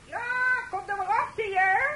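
A woman's voice: extremely high pitch, normal speed, bright, forceful tone. A woman playing a bossy, familiar housemaid at a lodging house in a period radio play. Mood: brusque. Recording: echoey, noisy old radio tape.

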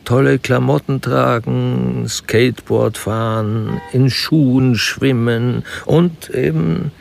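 A man's voice: medium pitch, fairly even intonation, takes it slowly, gravelly, a little mellow. A man giving scripted narration in a German radio crime drama.

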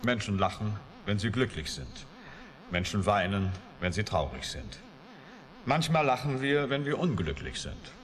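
An actor playing an embittered, sardonic computer scientist with a strong moral streak in a German radio drama, speaking emphatically. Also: male voice, lowish voice, very animated, unhurried. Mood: bitter, reflective. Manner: emphatic, volatile, pointed.

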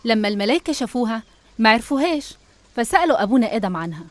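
A woman with an Egyptian accent narrating a scripted animated story. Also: a little high, racing, no room sound.

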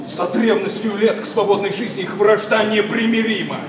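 An actor playing a scornful young idealist gentleman in a verse radio play, declaiming with impassioned, biting sarcasm. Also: high-pitched, fairly even intonation, normal speed, noisy background, lightly acted. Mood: indignant, scornful. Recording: echoey, distant stage sound with audience.

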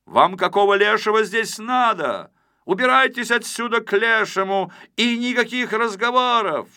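Shrieking, furious little police commander. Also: male voice, high voice, animated, normal speed, smooth, voice pushed up, heavily acted. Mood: gruff anger. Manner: shrieking, yelling through cupped hands. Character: furious small police commander, blustering and bullying.

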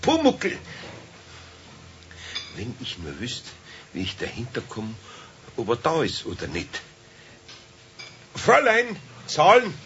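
A man's voice: medium pitch, huge pitch swings, slow pace, pauses often, a bit bright, exaggerated voice. An actor playing a good-natured, flustered older craftsman with a Bavarian accent, hesitant and muttering, in a children's radio play. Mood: worried, searching. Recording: dull 1970s radio recording, faint noise.

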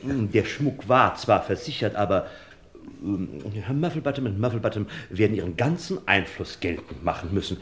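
Actor as a fawning, deep-voiced, swooping family lawyer. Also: male voice, animated, a little hiss or hum, natural voice. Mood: unctuous. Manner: obsequious, florid, halting then gushing. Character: fawning, pompous, money-minded male family lawyer. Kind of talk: vintage German crime radio play acting.

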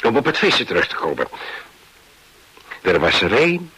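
An actor in a radio play voicing a folksy, evasive fishing warden, husky, hesitant and rambling, eager to please. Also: male voice, lowish voice, wildly swooping pitch, moderate pace, sharp tone, heavily acted, low-quality recording. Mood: musing, amused. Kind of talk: radio drama, dialogue and chatty monologue.

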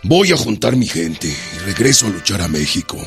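An actor playing a rough, folksy Mexican revolutionary leader with a northern Mexican accent, plainspoken and defiant, in a radio drama. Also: male voice, on the low side, very animated, normal speed, a bit bright. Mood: resolute, regretful. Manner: colloquial, earthy, swaggering. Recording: studio radio drama with music behind.

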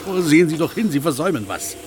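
A man's voice: a little high, big pitch swings, quick pace, background noise. An actor playing an effusive, gallant male railway engineer in a satirical radio play, gushing in florid, formally polite phrases. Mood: excited awe.

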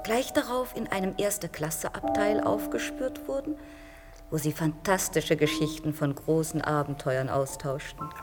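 An actress playing a wistful woman who recalls her past in a reflective, halting radio drama monologue. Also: sits low. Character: wistful woman recalling family summers and deportation. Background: music.

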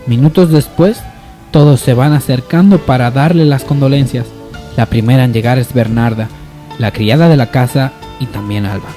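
A man's voice: mid-range voice, moderate pace, warm tone, soft background music. A man narrating a scripted amateur radio soap opera as its storyteller.

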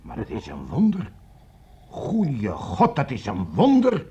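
An actor playing an earnest, unsettled army captain in a radio play, husky and easily awed. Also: male voice, mid-range voice, huge pitch swings, takes it slowly, background noise, heavily acted. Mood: amazed. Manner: earnest, dramatic.

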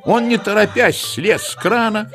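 A man narrating a children's story in an expressive, theatrical and playful way, with rhetorical asides. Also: high voice, measured pace. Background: music.

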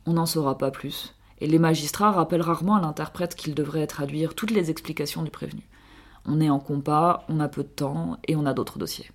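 Woman, low voice, measured delivery, scripted radio column.

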